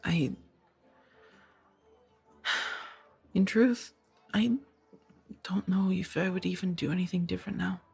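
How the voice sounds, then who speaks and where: A woman acting in a soft-spoken ASMR roleplay as a kind, conflicted former warrior monk turned farmer, hesitant and earnest. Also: fairly low pitch, slow, creaky, pauses often, breathy edge, soft background music, exaggerated voice.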